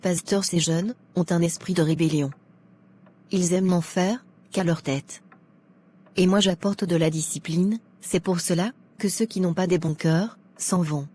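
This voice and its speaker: Woman acting a blunt, low-voiced judgmental churchwoman. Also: fairly even intonation, unhurried, darkish tone, slightly rough. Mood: defensive, stern. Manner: outspoken, scolding.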